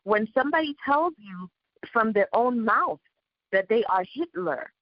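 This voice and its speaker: A woman giving spiritual advice in conversation, calm and self-assured. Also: a little high, unhurried, no echo, muffled recording. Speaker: spiritual guest.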